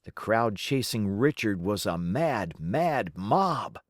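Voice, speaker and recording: Lady reading a kids book out loud, super slow n dramatic, bouncy pitch, dry close sound. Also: very animated, dry recording. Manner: theatrical, deliberate, rhythmic. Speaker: read-aloud storyteller. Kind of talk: expressive children's storybook narration.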